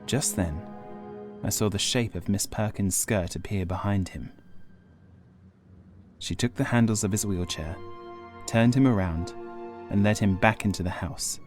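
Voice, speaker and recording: A man reading a gothic horror audiobook in the first person, in a measured, formal storytelling manner. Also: on the low side, soft background music. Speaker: audiobook narrator.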